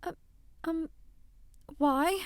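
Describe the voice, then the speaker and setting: A woman's voice: very high voice, extremely slow, no echo, exaggerated voice. A woman playing a nervous but defiant young princess in an audio drama, stammering awkwardly.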